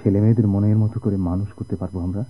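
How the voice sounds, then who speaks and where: An actor playing an eager, stubborn sailor husband, pleading tenderly and dramatically in a radio play. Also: male voice, fairly low pitch, level tone, fast, breathy edge. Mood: reassuring. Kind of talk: Bengali radio drama acting. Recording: muffled old radio drama recording.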